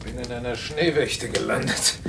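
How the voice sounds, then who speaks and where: An actor playing a coolly questioning suspect in a German radio mystery, speaking in a deliberate, dry and probing way. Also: male voice, medium pitch, creaky, noisy background, natural voice. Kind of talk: German radio crime drama acting.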